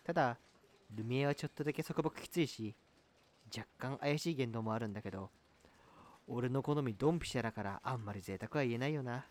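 A man playing a boastful, lovestruck, excitable young guy with a boyish, gushing manner in an amateur comedy radio drama. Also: medium pitch, brisk, lightly acted. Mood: smitten, boastful.

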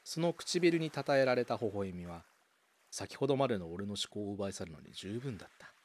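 A man's voice: fairly low pitch, expressive, a little fast, darkish tone. A man reading scripted first-person narration for a radio drama, with dramatic, suspenseful storytelling.

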